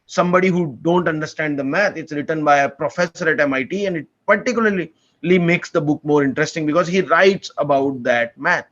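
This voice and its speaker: A man's voice: fairly high pitch, fairly quick, fairly bright tone, lo-fi sound. A young man from India, a PhD student chatting as a podcast guest in an earnest, enthusiastic way, often restarting his sentences. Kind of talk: casual conversational interview.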